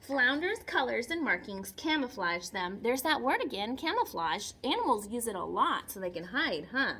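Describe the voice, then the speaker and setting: Animated high-voiced woman teacher reading aloud. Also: normal speed, husky. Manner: expressive, simple child-directed explaining with repetition and questions. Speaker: children's teacher reading a picture book aloud. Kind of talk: read-aloud lesson with chatty explanatory asides.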